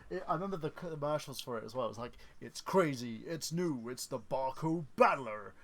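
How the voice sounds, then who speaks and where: A man in his thirties hosting a livestream, chatting casually in a halting way with lots of fillers and restarts. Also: mid-range voice, animated. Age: 30s. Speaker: stream host.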